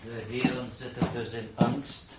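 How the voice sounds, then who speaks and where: A man's voice: mid-range voice, level tone, unhurried, lightly acted. An actor as a stiff, needling male coordinator. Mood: dry, sceptical. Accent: Netherlands Dutch. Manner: stiff, formal, pointed. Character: formal, dryly needling male mission coordinator. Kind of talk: 1960s Dutch radio drama acting. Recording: old radio recording, faint noise.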